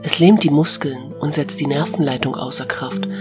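A deep-voiced alpha female creature of a fantasy forest, played by a woman. She is noble and sure of herself, instructing calmly and with gentle warning. Music plays clearly underneath. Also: moderate pace, close to an everyday voice. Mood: gently admonishing. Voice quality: deep, feminine. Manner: calm, wise, gently admonishing. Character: noble wise alpha female talking forest beast, self-assured. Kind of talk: German fantasy audio drama.

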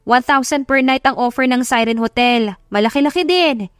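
A woman voicing a friendly, brisk older businesswoman in an audio drama, chatty and practical. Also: high voice, clear, resonant tone, no room sound, clean studio sound, pitched above the performer's own voice, lightly acted. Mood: friendly, businesslike. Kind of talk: Tagalog audio drama acting.

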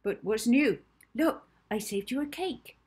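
Kindly, fussing storybook carer played by a woman: raised pitch, stammer, affectionate manner. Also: animated, measured pace, darkish tone, breathy edge, full character voice. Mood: cosy, chatty.

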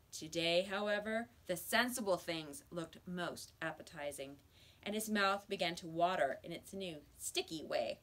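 A middle-aged woman reading a children's book aloud in a measured, expressive way, indoors in a small room. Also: fairly low pitch. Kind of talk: children's storybook read-aloud. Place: small school office or library room, indoors.

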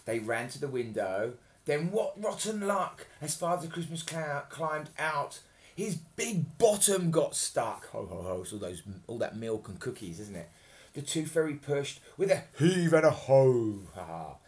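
A man in his thirties reads a picture book aloud to children. His pitch moves up and down in a very lively way, and he is playful and expressive. He often drops into chatty asides to explain what is going on. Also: measured pace, slight background noise. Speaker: storyteller reading to children. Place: home kitchen, indoors. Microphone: webcam at arm's length.

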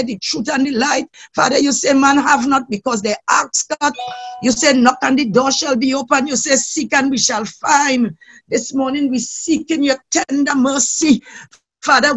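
Casting: high-pitched worship leader, fervent spontaneous prayer, impassioned and imploring. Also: fairly bright tone. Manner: impassioned, pleading, repeatedly calling on God. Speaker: worship leader praying aloud.

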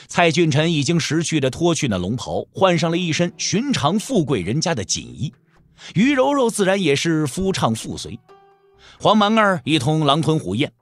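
A man's voice: fairly high pitch, no echo. A man narrating an audio drama in a dramatic, scripted storytelling style. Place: dry studio.

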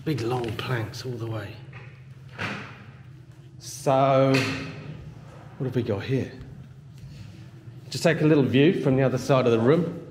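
A man's voice: medium pitch, slow pace, pauses often, darkish tone, slight background noise. A man giving a casual walk-through of a house for sale, thinking aloud and trying out broken Thai phrases. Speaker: vlogger touring a house for sale. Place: inside an empty house with hard floors. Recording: echoey bare rooms.

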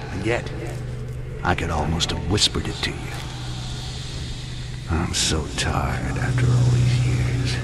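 A man playing a bitter, weary, centuries-old goblin in a video game cutscene, with a gravelly voice and a slow, taunting, sardonic manner. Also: sits low, very noisy, heavily acted. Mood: wry. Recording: loud music behind the voice.

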